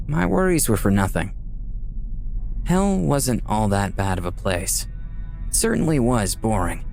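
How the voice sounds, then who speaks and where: A man's voice: fairly low pitch, a little mellow. An actor playing a wry, self-deprecating dead young artist who narrates his afterlife in a casual storytelling way for a visual novel. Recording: music playing behind the voice.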